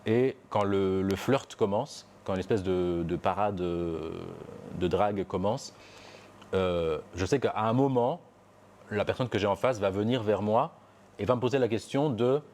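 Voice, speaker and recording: A man's voice: lowish voice, a little slow, a little mellow, a little hiss or hum. A young man giving a personal testimony, reflective and hesitant, with many fillers and restarts. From Belgium. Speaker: interviewee.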